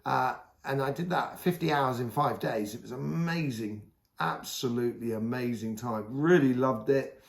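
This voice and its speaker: A hoarse man giving a casual, rambling tarot reading, full of fillers and restarts. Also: medium pitch, moderate pace, echoey room. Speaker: tarot reader.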